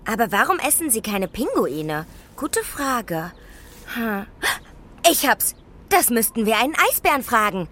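A woman's voice: a little high, big pitch swings, measured pace, bright, forceful tone, a little hiss or hum, full character voice. A woman voicing a clever, eager female dragonfly with a perky manner in a children's audio drama. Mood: bright, curious. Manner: perky, exclaiming.

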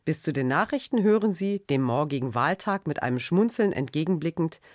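Low-voiced German woman announcer, lively intonation. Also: a little fast, dry recording, clean studio sound. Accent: standard German. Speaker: radio announcer. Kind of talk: scripted radio announcement.

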